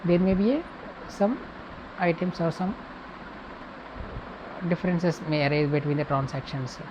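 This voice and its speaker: A woman with a low voice teaches in a step-by-step tutorial style. She speaks in short, halting segments and often restates her phrases. The recording has clear background noise and hum. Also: dull audio. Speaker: accounting tutor. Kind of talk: step-by-step tutorial, reading points and explaining.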